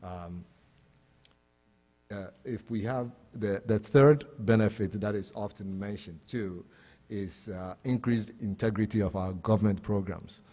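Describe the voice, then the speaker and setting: A man's voice: lowish voice, measured pace, mellow. A man from Ghana with a Ghanaian accent, giving an explanatory, plain-spoken panel presentation as an academic speaker. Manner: explanatory, plain-spoken, poses rhetorical questions. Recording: echoey hall with long reverb.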